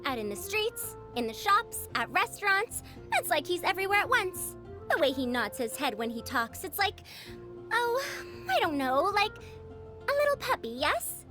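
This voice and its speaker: A woman's voice: very high voice, big pitch swings, a little slow, bright, forceful tone, faint music behind, heavily acted. A woman voicing a proud, fussy century-old scholar with a youthful girlish voice, pompous and lecturing, for a video game. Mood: animated, curious. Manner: pompous, lecturing, old-fashioned elder phrasing. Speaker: game character voice. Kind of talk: animated video game voice lines.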